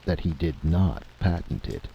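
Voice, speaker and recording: A man voicing a sober, conciliatory male committee chairman, speaking formally and deliberately in a dramatic story. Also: deep voice, expressive, highs cut off, a little hiss or hum.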